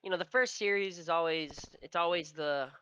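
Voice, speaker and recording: A man's voice: fairly high pitch, fairly quick, bright, forceful tone, clean tone, dry recording, highs cut off. A man co-hosting a casual esports podcast, with a nasal, stuffy voice from allergies and a profane, rambling way of telling stories. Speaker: podcast co-host.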